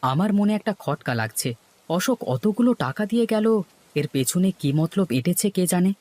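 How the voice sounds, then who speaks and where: A man's voice: high-pitched, expressive, moderate pace, darkish tone. A man voicing a worried, suspicious poor village fisherman and husband in a cartoon. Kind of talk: Bengali cartoon voice acting.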